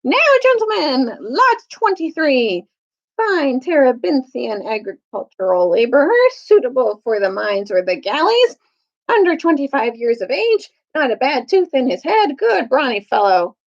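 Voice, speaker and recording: Woman playing brash storybook auctioneer man, oily hawker's patter, high swooping voice, lo-fi sound. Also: very animated, a little slow, full character voice. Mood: brash, hawking. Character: brash male slave auctioneer, oily and whining when cornered. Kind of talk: children's storybook read-aloud.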